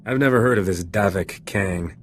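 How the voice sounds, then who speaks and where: An actor playing a roguish, flirtatious smuggler captain in a video game, cocky and easygoing. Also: male voice, deep voice, moderate pace, slight background noise, lightly acted. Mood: curious, flirting.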